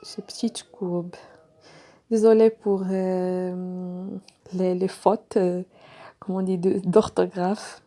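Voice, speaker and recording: A woman's voice: on the low side, level tone, takes it slowly, mellow. A woman who is not a native French speaker, giving a step-by-step sewing tutorial in a hesitant way, with many fillers and restarts. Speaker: sewing instructor.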